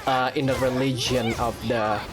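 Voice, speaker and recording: A woman's voice: extremely low pitch, steady tone, moderate pace. A woman commenting casually and with amusement while playing a game. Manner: amused, chatty. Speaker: gameplay commentator. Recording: game music behind voice.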